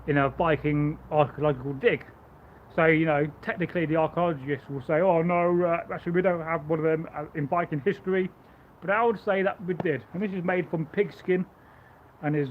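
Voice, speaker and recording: A man live-streaming as a Viking reenactor, chatting loosely and stammering through restarts with a loud voice, outdoors at home. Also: a little high, phone-quality sound, slight background noise. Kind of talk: casual live stream chat and demonstration.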